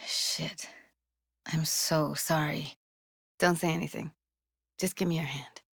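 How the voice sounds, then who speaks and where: A young, tech-savvy woman from a video game, speaks in a lowish voice with a dry, close studio sound. She is blunt and guarded but tender underneath. Her phrasing is casual, slangy and clipped, delivered naturally. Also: fairly even intonation, slow, gravelly, husky, no echo, full character voice. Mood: subdued, hurt.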